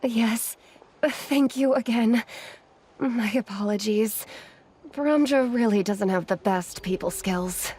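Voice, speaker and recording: An actress playing a courteous, apologetic young research assistant in an anime video game, explaining things patiently and earnestly. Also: female voice, a little high, takes it slowly, a little mellow, slight background noise. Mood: grateful, polite.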